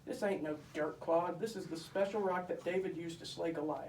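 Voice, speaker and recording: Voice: medium pitch, steady tone, a little fast, darkish tone, close to an everyday voice. A performer voicing a boastful, bossy puppet storyteller, pompous and emphatic, telling comic Bible tales. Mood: scornful, exasperated. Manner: pompous, emphatic, mock-scholarly. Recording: echoey room, distant mic, faint noise.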